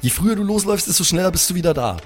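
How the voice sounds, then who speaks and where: A man's voice: high-pitched, animated, rapid-fire. A young man improvising in a role-play, chatting casually in slang sprinkled with English words. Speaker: player in a pen and paper podcast. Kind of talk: improvised in-character casual chat. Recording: faint music bed behind the voice. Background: faint background music.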